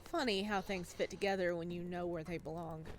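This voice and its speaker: A woman voicing a quiet, cryptic male recluse from a visual novel, terse and understated. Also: lowish voice, steady tone, a little fast, a little hiss or hum, lightly acted.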